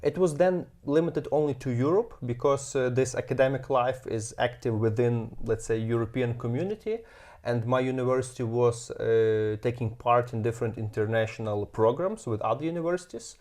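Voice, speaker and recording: A man in his thirties from Ukraine with a Ukrainian accent, speaking as an interview guest and often pausing with fillers while he searches for words. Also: medium pitch, a little mellow. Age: 30s. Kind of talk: casual interview.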